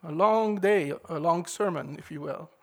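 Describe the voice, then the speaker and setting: A man preaching a sermon in a measured, emphatic way, leaning hard on key words. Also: on the high side.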